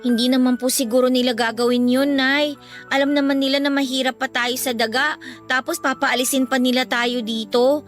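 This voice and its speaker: High-voiced, earnest Filipino child, played by a woman. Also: little pitch movement, brisk, faint music behind, lightly acted. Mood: concerned, reassuring. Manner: respectful, earnest, reassuring. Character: caring, earnest school-age child comforting worried mother. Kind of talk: Filipino radio drama acting.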